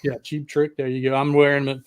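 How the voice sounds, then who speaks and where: A man's voice: medium pitch, flat delivery, fairly quick. An American man co-hosting a rock and metal talk show, chatting casually in a blunt, rambling, sweary way. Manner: blunt, heavily profane, rambling. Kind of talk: casual chat.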